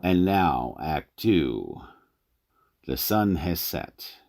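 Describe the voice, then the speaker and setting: A man narrating a radio drama in a measured, storytelling manner. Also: sits low, very animated, gravelly, a little mellow.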